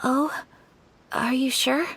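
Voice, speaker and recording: A woman voicing a refined, gentle young noblewoman in a video game, speaking in a formal, polite and measured way. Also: high-pitched, steady tone, breathy edge, exaggerated voice. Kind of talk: animated game character acting.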